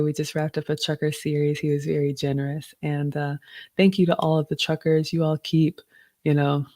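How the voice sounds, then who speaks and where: A middle-aged woman with a slightly deep, raspy voice, casually hosting a live stream and reading viewer questions aloud. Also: warm tone, dry recording, studio quality.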